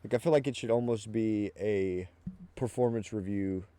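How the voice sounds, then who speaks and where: Young man, low and mellow voice, casual host, filler-heavy talk, dry close sound. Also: normal speed, no room sound. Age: 20s. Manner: informal, lots of "like" and "you know what I mean", restarts. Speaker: podcast host. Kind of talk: casual podcast chat.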